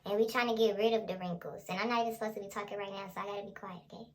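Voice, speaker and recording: A woman's voice: mid-range voice, fairly even intonation, racing, slight background noise. A young woman vlogger talking casually in a playful, slangy way, as in an ASMR role play.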